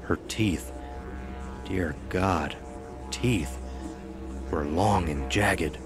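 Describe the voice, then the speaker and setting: A man's voice: low voice, extremely slow. A man reading a scripted horror story as its narrator. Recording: music behind the voice. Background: music.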